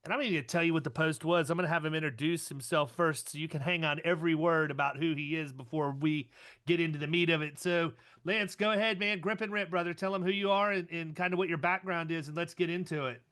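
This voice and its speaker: High, bright-voiced man hosting a sales podcast, upbeat and teasing. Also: steady tone, quick pace. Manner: upbeat, hype-style intros, teasing. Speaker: podcast host. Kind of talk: podcast intro and chat.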